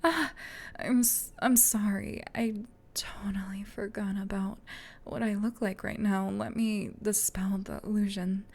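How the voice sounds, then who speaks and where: A woman performs a female sleep paralysis demon in an ASMR roleplay. She whispers closely in a breathy, intimate way, slow and with little swing in pitch. A low noisy rumble sits behind the voice. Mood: flustered, apologetic. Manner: slow, teasing, hushed, intimate. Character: female sleep paralysis demon, menacing tease turned flustered gentle comforter.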